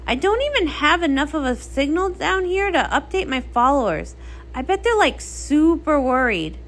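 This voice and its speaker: A woman performs a bubbly young pony princess from a comic story. The girlish voice sits high and swoops around, chatty and full of dramatic emphasis. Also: sing-song, normal speed, a bit bright, full character voice. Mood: worried.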